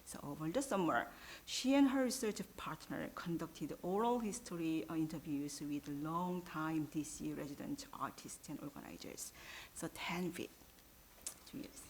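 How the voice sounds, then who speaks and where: Low-voiced woman moderating a panel. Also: normal speed, a little hiss or hum, low-quality recording. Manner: reads out bios, stumbles a little. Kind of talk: introducing speakers.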